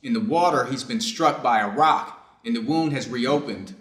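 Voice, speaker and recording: A man reading the part of a middle-aged Japanese surgeon in a staged story, reflective and clinical, with formal, deliberate phrasing. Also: medium pitch, a bit bright, natural voice. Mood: clinical concern. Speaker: reader's theater performer. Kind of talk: staged reading of a short story, measured delivery. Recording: echoey room.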